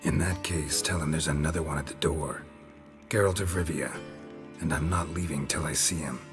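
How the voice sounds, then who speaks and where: A man playing a world-weary witcher in a video game, terse and deadpan, in a low gravelly murmur. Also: moderate pace. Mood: resolute, wry. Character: world-weary gruff male witcher, monster hunter for hire. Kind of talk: video game cutscene acting. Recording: music under the dialogue.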